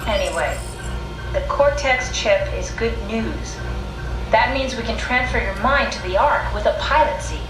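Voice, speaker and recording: A woman's voice: fairly high pitch, expressive, measured pace, background noise. An actress playing a curious, pragmatic woman scientist in a video game, talking in an analytical, matter-of-fact, conversational way. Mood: analytical, reassuring. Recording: echoey room sound with music behind.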